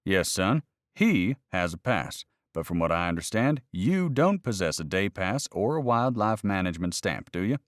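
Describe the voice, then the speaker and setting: A man's voice: sits low, sing-song, normal speed, no echo, clean studio sound. A man voicing a stern, officious male game warden in a read-aloud story, clipped and addressing people as son.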